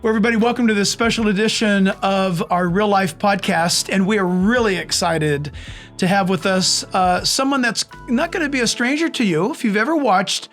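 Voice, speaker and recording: A man's voice: high voice, normal speed, a bit bright, soft background music. A man hosting his podcast as a pastor, effusive and eager in his welcome and questions. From San Diego area. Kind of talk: enthusiastic interview hosting.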